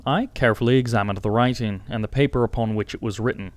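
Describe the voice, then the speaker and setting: Male audiobook narrator, medium pitch, reading scripted first-person fiction. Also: fast.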